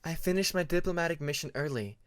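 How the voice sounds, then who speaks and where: A man's voice: on the high side, quick pace, clean tone, no room sound, studio quality, pitched above the performer's own voice, natural voice. A man voicing a grown female dragon, a kindly and reassuring friend, in a narrated story. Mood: affectionate.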